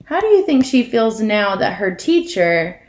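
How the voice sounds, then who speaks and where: A woman's voice: mid-range voice, big pitch swings, a little slow, darkish tone. A woman reading a children's picture book aloud expressively as a storyteller, putting questions to her listeners. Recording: echoey room.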